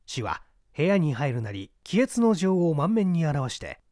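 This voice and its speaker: A man reading a classic mystery story aloud as narrator, measured with dramatic asides. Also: a little high, sing-song, mellow, no room sound, studio quality. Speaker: reader.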